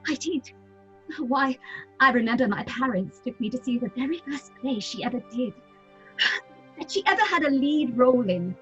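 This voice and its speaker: A woman's voice: sits high, expressive, moderate pace, lo-fi sound, faint music behind. A woman playing an eager, anxious young actress in an audio drama, earnest and apologetic in manner. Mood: starstruck. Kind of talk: dramatic audio fiction acting.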